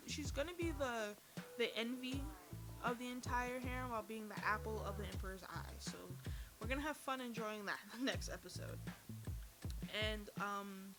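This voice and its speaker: A woman giving a casual, animated recap of a TV drama, with profanity and slang, talking straight to her chat. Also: mid-range voice. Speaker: TV series reviewer.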